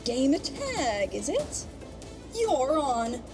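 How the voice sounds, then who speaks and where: High-pitched gloating genie villainess. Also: female voice, big pitch swings, very slow, over loud music, full character voice. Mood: mocking. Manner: taunting, theatrical. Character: gloating villainous female genie, playful and menacing. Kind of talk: audio drama acting.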